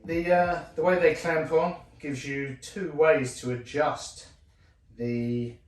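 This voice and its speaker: A man's voice: fairly high pitch, fairly even intonation, slow, faint music behind. A man presenting a casual hands-on bike review, thinking aloud in an informal way. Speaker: bike restorer presenting his video. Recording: roomy echo, voice drifting from mic.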